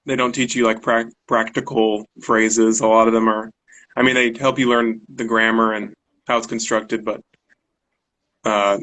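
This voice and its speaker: Relaxed man, rambling guest. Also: on the low side, measured pace, low-quality recording. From United States. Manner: relaxed, rambling, filler-heavy. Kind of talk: casual online conversation. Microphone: video call.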